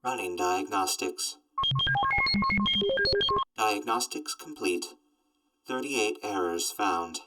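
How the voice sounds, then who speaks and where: An actor playing a literal, gloomy robot companion in an audio drama, speaking in clipped, deadpan system announcements. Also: male voice, fairly low pitch, slow, long pauses, far from the mic, loud music behind the voice, rough recording. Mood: flat, mechanical. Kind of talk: post-apocalyptic audio drama.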